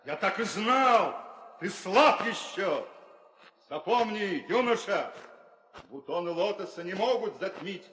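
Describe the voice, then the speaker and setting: A man's voice: sits high, animated, slow pace, fairly bright tone, a little hiss or hum, heavily acted. An actor playing a mocking, haughty warrior suitor, declaiming his taunts in a 1960s theatrical audio drama. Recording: echoey hall reverb.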